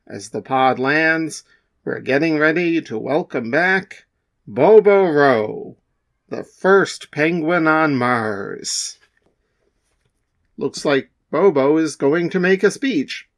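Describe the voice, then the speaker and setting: A man reading the part of an excitable male announcer at a space center landing, in a broadcast style. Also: on the high side, heavily acted.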